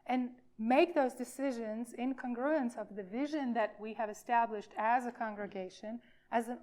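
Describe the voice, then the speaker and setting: A woman minister giving an informal sermon for all ages, speaking in a halting, emphatic way with deliberate pauses. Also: a little high, dark tone.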